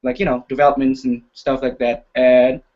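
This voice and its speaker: A man's voice: mid-range voice, level tone, normal speed, lo-fi sound. A young man from Indonesia whose first language is not English, introducing himself as a student panelist in a friendly, self-deprecating way with many fillers. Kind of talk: casual introduction on a live web chat.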